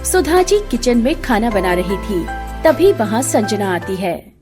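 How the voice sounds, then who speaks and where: A woman's voice: on the high side, big pitch swings, a little slow, music in the background. A woman storyteller giving scripted narration of a family moral story.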